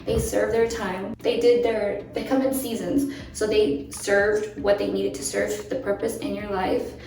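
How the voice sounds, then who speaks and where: A young woman vlogger talking earnestly to camera, her voice croaky from a late night. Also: mid-range voice, flat delivery, unhurried, distant mic. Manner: earnest, encouraging, musing. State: croaky from little sleep. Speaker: lifestyle vlogger. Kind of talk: reflective talk to camera. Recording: echoey, from off the mic, soft music.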